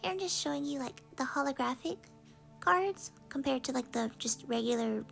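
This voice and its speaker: A woman's voice: high-pitched, lively intonation, normal speed, vocal fry, a bit bright, soft background music. A young woman from Texas, chatting casually through an unboxing with lots of fillers, sounding a little congested. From Texas, USA. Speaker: vlogger.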